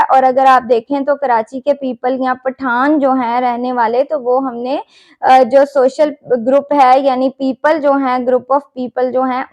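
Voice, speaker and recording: A woman's voice: high voice, darkish tone, lo-fi sound. A woman with a Pakistani Urdu accent, an English instructor explaining concepts in a recorded lecture, mixing English terms and asking rhetorical questions.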